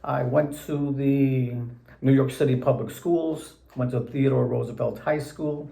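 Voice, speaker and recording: A man in his seventies, born in Puerto Rico, recalling his path to the bench in a slow, deliberate, plain-spoken way. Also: mid-range voice, fairly even intonation, vocal fry, mellow, reverberant, low-quality recording. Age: 70s. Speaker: interviewee judge. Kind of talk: measured recollection in an interview.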